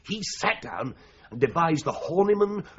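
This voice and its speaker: Radio drama: flippant English office solicitor, very animated, wordy and droll, on slightly muffled old broadcast audio. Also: male voice, a little high, unhurried, slightly rough, full character voice. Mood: drily instructive. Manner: droll, mock-pompous, wordy. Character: breezy, flippant, theatrical solicitor in a stuffy London office. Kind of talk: 1980s radio drama acting.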